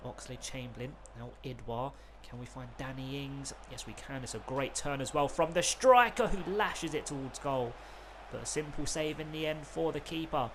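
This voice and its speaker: A man, who has a cold, doing excited play-by-play commentary over a football video game. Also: medium pitch, noisy background. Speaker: gaming YouTuber.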